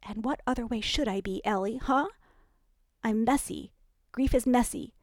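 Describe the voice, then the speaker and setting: A woman voicing Annika, a wounded, sharp-tongued married friend, in an audiobook reading. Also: moderate pace, dry recording, studio quality, close to an everyday voice. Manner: cutting, wounded, low-voiced.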